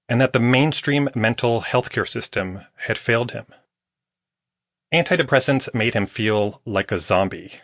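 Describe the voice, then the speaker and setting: A man's voice: lowish voice, fairly bright tone, dry recording. A man reading scripted nonfiction audiobook narration as the author of the book. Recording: close, dry studio recording.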